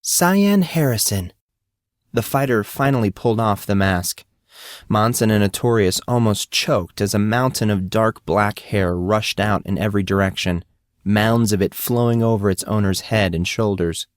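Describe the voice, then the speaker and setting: A man narrates fiction in a fairly low voice. The sound is dry, with little room around it, and the delivery is that of a scripted audiobook reading. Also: fairly even intonation, moderate pace, no room sound.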